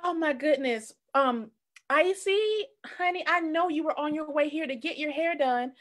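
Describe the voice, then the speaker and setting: A woman's voice: high-pitched, normal speed, a bit bright. A woman playing a sympathetic, apologetic orca salon owner in an improvised children's story. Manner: sympathetic, calls others honey. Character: apologetic, motherly orca who runs a hair salon.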